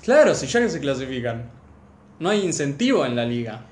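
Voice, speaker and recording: A man's voice: medium pitch, very animated, brisk, a little mellow. Young Argentine man in an echoey room. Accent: Argentine Rioplatense. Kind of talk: casual sports chat with friends.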